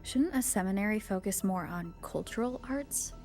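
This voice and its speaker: An actress playing a composed, curious young woman adventurer in a video game, calm and gently probing, with music behind her. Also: medium pitch, normal speed, natural voice. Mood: inquiring. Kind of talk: scripted video game dialogue.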